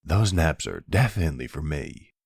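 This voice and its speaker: A man playing a weary, affectionate older retired mafia boss in an audio roleplay, gently gruff and unhurried. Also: a bass voice, animated, no room sound, studio quality, full character voice. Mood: tired, easygoing.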